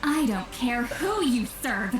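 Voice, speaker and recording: A woman's voice: a little high, very animated, slow, echoey room, recorded from a distance. An actress playing a powerful, short-tempered shaman in a video game, haughty, sardonic and threatening.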